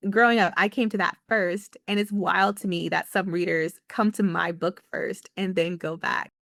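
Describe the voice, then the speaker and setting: A woman's voice: fairly low pitch, fairly even intonation, moderate pace, creaky, a bit bright, no echo, studio quality. A woman author being interviewed on a podcast, talking chattily with lots of fillers and easy laughter. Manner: chatty, with many likes and ums, laughs easily. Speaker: author guest.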